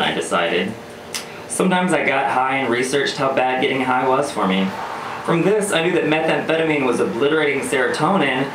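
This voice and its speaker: A man's voice: mid-range voice, noisy background. A man performing scripted first-person spoken-word storytelling live, with a wry, sardonic, theatrical delivery. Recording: roomy live venue, distant mic, music. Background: electronic music beats.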